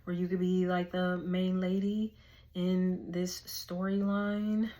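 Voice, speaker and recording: A woman's voice: on the low side, completely flat delivery, slow pace, muffled recording, noisy background. A woman, giving a casual, slangy tarot reading and thinking aloud as she goes. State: hoarse. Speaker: tarot reader.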